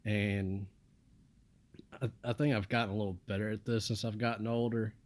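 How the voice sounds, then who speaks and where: A young man from the United States rambling in a flat, monotone voice with mumbled asides. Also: on the low side, moderate pace. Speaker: vlogger. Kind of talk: casual rambling monologue.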